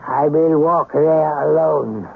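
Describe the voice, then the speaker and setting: A grave, sympathetic older man in an old radio play. His voice is rough and husky, his delivery measured and solemn, on a thin vintage broadcast. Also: on the high side, phone-quality sound, full character voice. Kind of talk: 1970s American radio drama acting.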